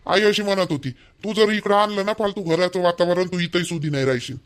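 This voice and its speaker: A man's voice: high voice, fairly even intonation, a little fast, lightly acted. A man voicing a blunt, fault-finding young village husband in an amateur cartoon, nagging in a Vidarbha Marathi accent. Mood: scolding, accusing. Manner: blunt, nagging, repetitive complaining. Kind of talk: amateur cartoon dialogue in rural dialect.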